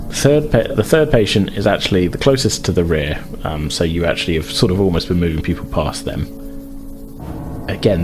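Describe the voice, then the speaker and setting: Low-voiced man running a tabletop game. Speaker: game master. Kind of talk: improvised tabletop game narration. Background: music under the voice.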